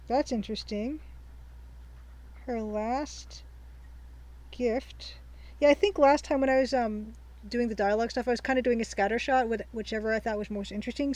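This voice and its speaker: A young woman hosting a game playthrough, chatting casually and thinking aloud as she reads her notes slowly. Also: on the high side, darkish tone, poor audio. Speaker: let's-play host. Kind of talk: casual let's-play commentary.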